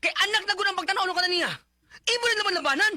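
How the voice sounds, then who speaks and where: A man's voice: extremely high pitch, very fast, bright, forceful tone, no echo, full character voice. A man playing a strict, short-tempered, aggrieved stepfather in a radio drama. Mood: angry scolding. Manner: scolding, emphatic. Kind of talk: Philippine radio drama acting.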